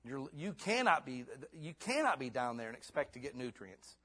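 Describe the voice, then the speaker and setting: A husky-voiced man in his fifties preaches to a church congregation. His delivery moves from soft, close asides to fervent, rising appeals, and he ends in prayer. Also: medium pitch, sing-song, normal speed. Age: 50s. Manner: swings between hushed and fervent. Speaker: pastor. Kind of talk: sermon ending in prayer.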